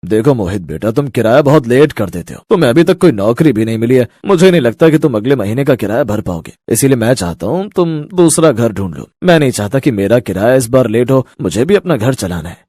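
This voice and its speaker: A man's voice: mid-range voice, big pitch swings, a little fast, few pauses, dark tone, no echo, clean studio sound. A man voicing a stern, distrustful older landlord in a cartoon story, firm and admonishing. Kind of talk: Hindi cartoon story acting.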